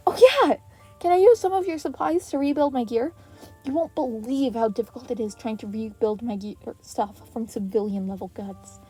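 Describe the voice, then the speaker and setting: Woman doing an eager teen boy hero, high voice, excitable and earnest, music behind. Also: normal speed, breathy edge. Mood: excited, flustered. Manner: stammering when flustered.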